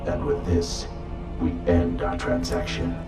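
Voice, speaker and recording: An actor playing a cold, imperious supervillain in a video game cutscene, curt, commanding and pompous. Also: male voice, low voice, completely flat delivery, unhurried. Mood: cold, dismissive. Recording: echoing voice under music.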